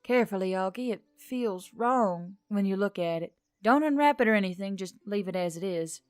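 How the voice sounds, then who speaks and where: A woman's voice: medium pitch, expressive, moderate pace, clear, resonant tone, soft background music, close to an everyday voice. Woman voicing a nervy, slangy young woman. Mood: dark, warning. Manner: casual, slangy, emphatic. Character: Emily, nervous, foul-mouthed, sharp-tongued young woman. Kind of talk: audiobook character dialogue.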